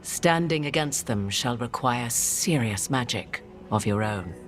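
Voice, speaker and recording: An actress playing an arch, enigmatic sorceress in a video game, with haughty, theatrical, archaic phrasing. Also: female voice, rumbling low, lively intonation, measured pace, slight background noise. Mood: grave, advising.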